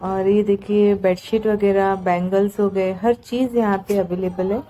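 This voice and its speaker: A woman's voice: medium pitch, steady tone, normal speed. A woman narrating a vlog in a casual, chatty, conversational way. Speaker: market vlogger.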